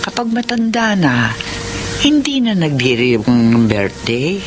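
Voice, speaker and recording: A woman playing a gentle, forgiving elderly mother in a radio drama, with an affectionate, motherly manner. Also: sits low, sing-song, takes it slowly, background noise, full character voice. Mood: touched, tender. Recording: clear music behind the voice.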